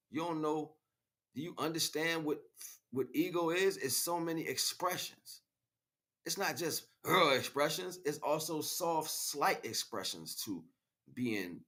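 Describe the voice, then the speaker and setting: A man's voice: mid-range voice, fairly bright tone. American man preaching in street slang. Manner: preachy, slangy, repeats phrases for emphasis. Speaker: spiritual livestream host. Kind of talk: impromptu spiritual monologue to listeners.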